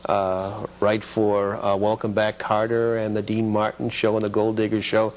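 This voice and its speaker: Halting, low-voiced man reminiscing. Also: monotone. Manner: halting, repeats himself. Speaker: interview guest, independent TV producer. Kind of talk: casual reminiscing chat.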